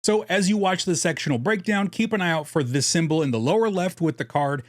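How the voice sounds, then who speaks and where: A man's voice: sits high, brisk, no echo, studio quality. A man narrating a scripted explainer as host, with a casual, self-deprecating, jokey way of talking. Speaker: host of a card game deck guide channel.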